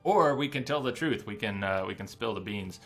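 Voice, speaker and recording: A man's voice: fairly low pitch, lively intonation, fairly quick, creaky, fairly bright tone. A man streaming a video game with casual commentary, thinking aloud as he plays. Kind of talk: casual live playthrough commentary. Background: game music.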